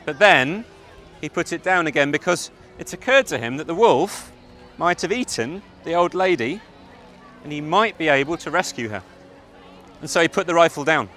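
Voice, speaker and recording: Bright, forceful male storyteller. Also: on the high side, lively intonation, soft background music, some chatter behind. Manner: theatrical, deliberate, dramatic pauses. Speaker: storyteller narrator. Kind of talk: live fairytale narration for children. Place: outdoor public event.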